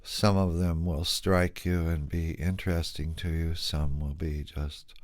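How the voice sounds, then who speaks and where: A man leading a guided meditation, speaking calmly and gently, asking soft questions with long pauses. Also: extremely low pitch, no echo.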